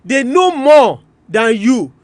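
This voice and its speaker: A man's voice: extremely high pitch, big pitch swings, takes it slowly, darkish tone, no echo. A man with a Nigerian Yoruba accent hosting an educational radio talk, speaking emphatically to listeners and repeating his points. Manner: emphatic, repetitive, addresses listeners directly. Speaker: radio programme host. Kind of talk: live educational radio talk, switching between English and Yoruba.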